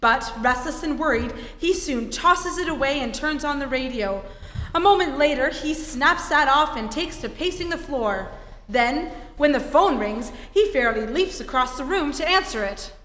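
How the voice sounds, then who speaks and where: A woman's voice: high-pitched, animated, moderate pace, fairly bright tone, a little hiss or hum. A woman giving dramatic scripted narration in the style of a 1940s radio serial. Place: theatre stage before an audience. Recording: echoey hall, live stage performance.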